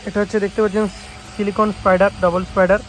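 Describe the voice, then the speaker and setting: A man with a West Bengal Bengali accent talks quickly in a high voice, like a shop owner showing off goods on his channel. He stresses and repeats his points, often checking that viewers follow. The phone recording has a noticeable hum and hiss behind him. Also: little pitch movement, fairly bright tone. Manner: repetitive, emphatic sales talk with frequent check-in tags. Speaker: speaker repair shop owner and video host. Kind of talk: casual product showcase vlog. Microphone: phone camera. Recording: noisy, engine-like hum and hiss.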